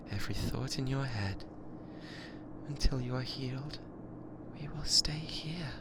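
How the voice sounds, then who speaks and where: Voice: medium pitch, heavy background noise, lightly acted. A performer with a British accent voicing a possessive, lovesick sentient AI in a binaural ASMR roleplay, with stilted formal phrasing that often slips into whispers. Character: possessive lovesick yandere spaceship AI, newly sentient. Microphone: close binaural microphone.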